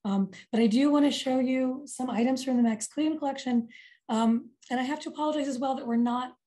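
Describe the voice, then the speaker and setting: A woman with laryngitis, hoarse and apologetic, giving a webinar presentation on a video call. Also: sits high, fairly quick, mellow. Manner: apologetic, careful.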